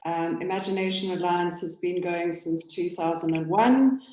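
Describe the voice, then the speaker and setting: A woman's voice: lowish voice, normal speed, darkish tone, roomy sound, low-quality recording. A woman co-hosting a business webinar, speaking casually and hesitantly with frequent fillers. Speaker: research company founder and co-host.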